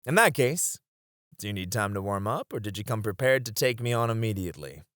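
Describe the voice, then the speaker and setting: A man's voice: lowish voice, sing-song, brisk, a bit bright, no room sound, studio quality. A man playing a confident, teasing knight bantering with an old comrade in an audio roleplay. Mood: relaxed, friendly. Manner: playful teasing with frequent chuckles. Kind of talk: ASMR romance audio roleplay, one-sided dialogue.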